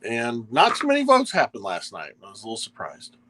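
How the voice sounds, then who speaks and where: A man's voice: medium pitch, wildly swooping pitch, fairly quick, a loud crowd, rough recording. A man chatting casually as a guest in a laid-back, rambling way. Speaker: show guest. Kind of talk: casual chat.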